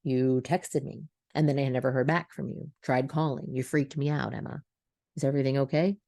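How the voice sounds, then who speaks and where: A woman voicing a calm, concerned middle-aged man in an audio story, subdued in manner. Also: a bass voice, fairly quick, creaky, no room sound, studio quality, heavily acted.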